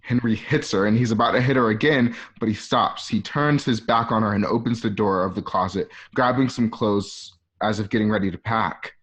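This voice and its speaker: A man's voice: lowish voice, normal speed, hardly any pauses, fairly bright tone. A man reading stage directions as narrator for a radio play over a dull online call. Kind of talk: scripted narration. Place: online live stream call.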